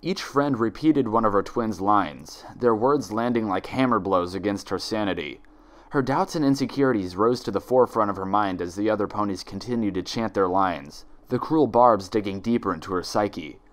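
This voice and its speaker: A man narrating a story like a dramatic audiobook, in a theatrical, measured manner. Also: on the low side.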